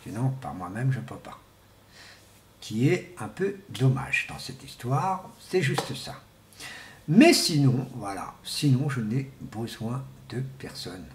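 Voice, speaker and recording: A man giving an informal, rambling monologue to viewers, speaking haltingly and often repeating words and restarting. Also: medium pitch, big pitch swings. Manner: often clears throat. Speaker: vlogger. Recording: echoey room with faint background noise.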